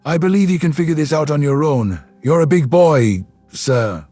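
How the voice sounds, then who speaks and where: A man's voice: on the high side, moderate pace, dark tone, no echo, faint music behind, voice pushed up, lightly acted. A man voicing a dry-witted human guard who teases wryly in a sci-fi audio story. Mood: teasing. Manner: wry, mocking.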